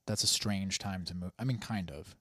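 A man in his twenties with a lowish voice, talking in a relaxed podcast chat. He hesitates and leans on fillers like "you know" and "like". The sound is dry and close, with no room echo. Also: normal speed. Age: 20s. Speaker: actor and podcast guest. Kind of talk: casual chat.

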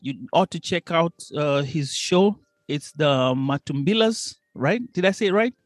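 A man's voice: a little high, animated, unhurried, no echo, clean studio sound, soft background music. A man from Kenya hosting a podcast, chatty and upbeat, with frequent fillers. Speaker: podcast host. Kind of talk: podcast interview.

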